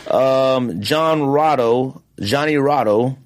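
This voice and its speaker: A man's voice: medium pitch, slow. A man co-hosting a podcast in a casual chat, emphatic, teasing and full of slang. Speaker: podcast co-host.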